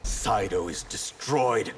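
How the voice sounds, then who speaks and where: An actor playing a proud, disillusioned water mage in a fighting game, grave and measured. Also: male voice, lowish voice, long reverb tail, a little hiss or hum. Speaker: video game character. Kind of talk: dramatic fighting game dialogue.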